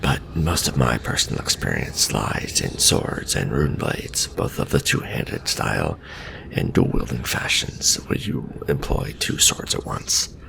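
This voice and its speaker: A man voicing a veteran undead death knight in an ASMR fantasy roleplay, a stern, patient mentor speaking formally and with measure in a gravelly half-whisper. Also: rumbling low, expressive, measured pace, noisy background, heavily acted. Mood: measured, instructive.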